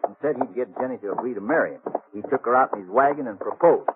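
A male actor as a coarse, gossipy sheriff in a 1950s radio western. He is folksy and American, with a very animated pitch and a mocking edge. The vintage recording is narrow and noisy. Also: very fast, close to an everyday voice.

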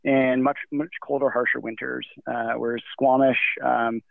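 A man's voice: mid-range voice, level tone, moderate pace, vocal fry, dry recording. A man being interviewed over a remote call, talking casually in a rambling way with many fillers and restarts. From Nigeria. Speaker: guest. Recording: tinny phone-like call line.

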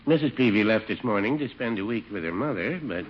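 An actor playing a mild, chipper, dithering shopkeeper in a 1950s radio sitcom, folksy and deadpan. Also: male voice, lowish voice, fast, close to an everyday voice. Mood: chipper, droll. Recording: dull, noisy 1950 radio recording.

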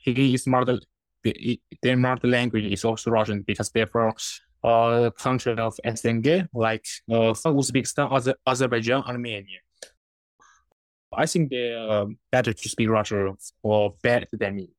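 A young man from China with Chinese as his first language, answering interview questions haltingly, often restarting words. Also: mid-range voice, steady tone, no room sound. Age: 20s. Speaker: guest.

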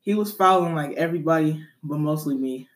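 A man's voice: a little high, fairly quick. A young man casually telling a story on a podcast, rambling and correcting himself as he goes. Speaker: podcast co-host.

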